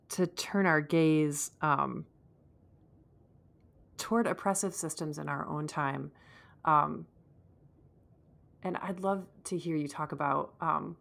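A woman interviewing on a podcast, putting thoughtful questions together in careful, measured short phrases. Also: sits low, creaky, a bit bright.

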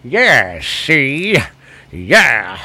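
American man, podcast host, casual profane chat, blunt joking delivery, slightly congested weak voice, average pitch, dry sound. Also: huge pitch swings, very slow, pushed and bright, dry recording. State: slightly weak, stuffy voice from recent illness.

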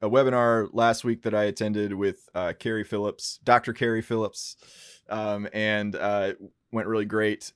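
Low-voiced male podcast host. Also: no room sound, clean studio sound. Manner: friendly, hesitant with fillers and laughs. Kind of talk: casual podcast interview.

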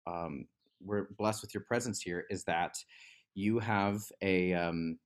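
Male podcast host, lowish voice, eager and halting. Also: steady tone. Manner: enthusiastic, halting, many fillers. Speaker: podcast host, dance instructor. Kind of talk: podcast interview.

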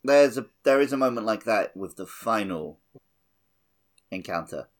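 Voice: medium pitch, animated, unhurried. A podcast co-host chatting casually about games and TV, jokey and prone to digressions.

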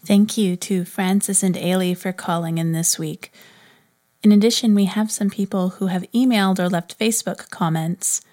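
A woman's voice: medium pitch, steady tone, normal speed, warm tone. A woman, reading as a podcast host in a scripted style. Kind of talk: scripted narration, reading prayer requests.

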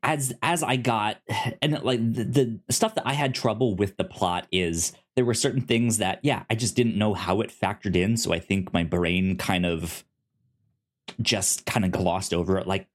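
A man chatting casually as a podcast co-host, rambling and often doubling back on his words as he gives his review. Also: on the low side. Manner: halting, rambling, repeats words.

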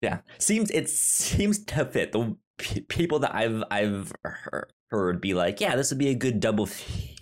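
A man's voice: fairly low pitch, a little slow, hardly any pauses. A man co-hosting a movie podcast, chatting casually with lots of restarts and laughs. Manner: relaxed, rambling, frequent restarts and laughs. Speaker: podcast co-host. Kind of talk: casual chat.

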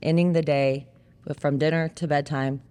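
A woman's voice: deep voice, fairly even intonation, moderate pace, warm tone, smooth. An American woman in her thirties chatting as a parent, explaining things casually with plenty of "like". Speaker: parent sharing family testimony. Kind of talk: casual conversational testimony. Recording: long reverberant hall echo.

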